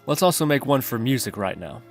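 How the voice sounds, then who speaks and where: Man, mid-pitched voice, tutorial host, step-by-step explanatory narration, faint background music. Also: animated, fairly quick.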